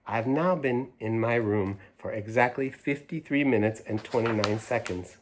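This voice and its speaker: A woman's voice: rumbling low, moderate pace, background noise. A man voicing a sulky, indignant little girl from a storybook, making pompous, emphatic declarations. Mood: precise, huffy.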